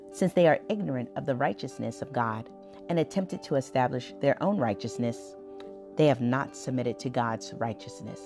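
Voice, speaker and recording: A woman reading Bible scripture aloud for a podcast in a measured, solemn manner. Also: low-pitched.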